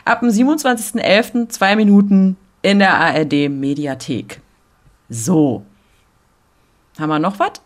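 A woman's voice: fairly low pitch, normal speed, long pauses. A woman with a Berlin accent hosting a podcast, chatting casually, joking and ironic. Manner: joking, ironic, laughs often. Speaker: podcast host. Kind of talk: casual chat.

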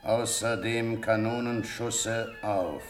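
Very slow, dutiful officer. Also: male voice, lowish voice, steady tone, soft background music. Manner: formal, careful repetition. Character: dutiful officer echoing a dictated battle order. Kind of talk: classic German radio play stage acting.

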